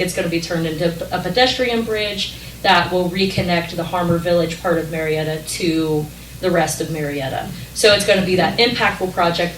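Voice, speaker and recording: A woman's voice: fairly low pitch, a little hiss or hum. A young woman from a regional planning group explaining a grant program at a council meeting, with many ums and likes. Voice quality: loud voice. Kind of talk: public meeting presentation. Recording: echoey meeting hall, distant mic.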